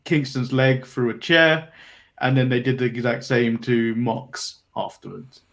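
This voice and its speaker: A man's voice: mid-range voice, steady tone, measured pace, vocal fry, a bit bright. A man co-hosting a casual wrestling podcast, recapping matches from notes with fillers and laughs. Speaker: podcast co-host. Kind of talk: casual wrestling review chat.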